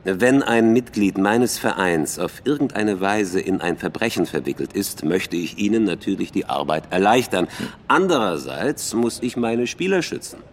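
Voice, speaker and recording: A self-important club president acted by a man in a radio drama, formal and politely probing. His lowish male voice swings animatedly in pitch, with a faint rumbling noise behind it. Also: animated, normal speed, lightly acted. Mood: guarded, diplomatic. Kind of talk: German radio drama acting.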